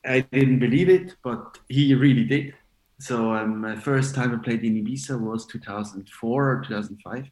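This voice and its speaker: A forty-year-old man from Switzerland chats loosely as an interview guest, circling his point with fillers and false starts. His voice sits at an ordinary middle pitch. The room around him rings with noticeable echo. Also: normal speed, darkish tone. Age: 40s. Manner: rambling, many fillers and restarts. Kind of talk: casual interview chat.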